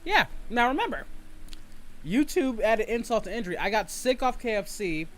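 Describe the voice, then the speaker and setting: A man's voice: high-pitched, very animated, normal speed, slight background noise. A man hosting a casual livestream chat, talking informally and swearing freely. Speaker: livestream host.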